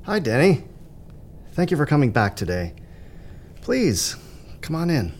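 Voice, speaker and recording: An actor playing a composed male psychiatrist in an audio drama, speaking in a measured, reassuring and gentle way. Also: a little high, sing-song, noisy background.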